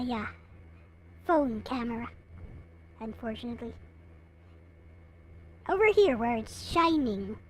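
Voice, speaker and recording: Female streamer, high voice, unhurried pace, casual chat with viewers. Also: a little hiss or hum. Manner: chatting casually to viewers, replying to comments. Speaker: livestream host. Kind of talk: casual livestream chat showing collectible figures.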